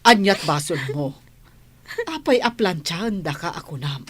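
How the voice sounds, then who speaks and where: A woman playing a kindly, concerned lady with a husky voice, asking questions in a motherly way in an Ilocano radio drama. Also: on the low side, lively intonation, unhurried, a little hiss or hum. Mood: alarmed. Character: kindly, concerned adult woman helping a runaway girl.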